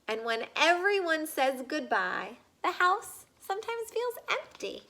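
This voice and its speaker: High, bright-voiced woman reading to children. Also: very animated. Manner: deliberate, addressing young listeners with questions. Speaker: read-aloud reader. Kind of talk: reading a children's picture book aloud.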